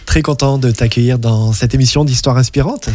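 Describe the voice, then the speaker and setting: A man's voice: medium pitch, fairly even intonation, fairly quick, a little mellow, no echo. A man hosting a radio interview show, friendly and encouraging toward his guest. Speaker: radio host.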